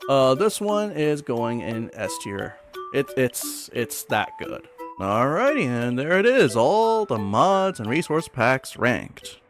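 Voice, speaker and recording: A man's voice: medium pitch, very animated, takes it slowly. A man giving casual, chatty, self-deprecating commentary as a video creator ranking things. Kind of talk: casual commentary over a tier list. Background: background music.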